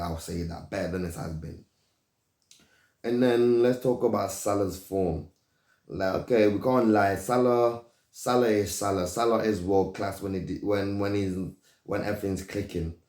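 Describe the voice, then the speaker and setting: Deep-voiced man rambling about football. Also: mellow, echoey room. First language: not English. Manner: rambling, restarts, slangy. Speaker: football pundit, channel host. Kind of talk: casual football talk. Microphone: desk mic, loose.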